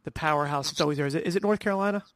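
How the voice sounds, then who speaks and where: A man's voice: fairly high pitch, racing, a bit bright, no room sound. A man from New Jersey co-hosting a sports talk show, cutting in with teasing jokes. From New Jersey, USA. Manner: jokey, teasing interjections. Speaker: podcast co-host. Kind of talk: casual interview chat.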